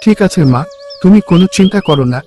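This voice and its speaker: A poor young day labourer in a cartoon story, acted by a man. His voice is distinctly high for a male. He talks earnestly and respectfully, with a dutiful, worried air, over faint music. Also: lively intonation, quick pace, a little mellow. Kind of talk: Bengali cartoon story dialogue.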